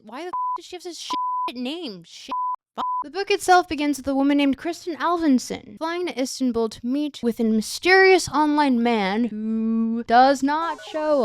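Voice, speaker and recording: High-pitched, sardonic woman narrating a video essay. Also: dry recording. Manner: sardonic, irreverent, with casual swearing and dry asides.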